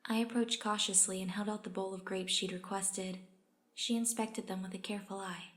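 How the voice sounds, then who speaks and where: Woman as story reader, medium-pitched, resigned first-person narration of a put-upon underling. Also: steady tone, normal speed, fairly bright tone, lightly acted. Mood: subdued, resigned.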